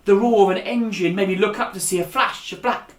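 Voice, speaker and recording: Male storyteller for young audiences, animated and direct, recorded with noticeable room echo. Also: sits high, fairly quick. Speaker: author and storyteller. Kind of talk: story reading aloud for young listeners. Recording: echoey room.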